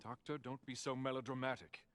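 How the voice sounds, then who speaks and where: An actor playing a suave, arrogant villain in a video game, smug, theatrical and condescending. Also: male voice, mid-range voice, level tone, a little fast, fairly bright tone, close to an everyday voice. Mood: smug amused. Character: suave arrogant male villain, wealthy inventor.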